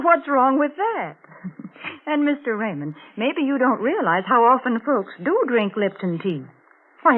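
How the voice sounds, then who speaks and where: A woman giving a prim, cheery, persuasive sponsor pitch in the style of 1940s radio. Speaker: commercial spokeswoman and co-host. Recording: tinny band-limited 1940s radio recording.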